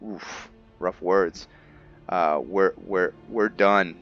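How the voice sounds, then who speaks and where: Deep-voiced, slow-talking man, gameplay commentary. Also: fairly even intonation, fairly bright tone, dull audio. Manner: hesitant, thinking aloud, chatty to viewers. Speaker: host of a gameplay roleplay series. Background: background music.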